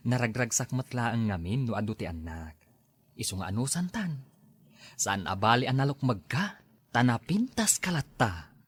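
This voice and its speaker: A man's voice: medium pitch, big pitch swings, unhurried, pauses often, slightly rough, no echo. A man with an Ilocano Filipino accent playing an easygoing husband and neighbour in a conversational audio drama.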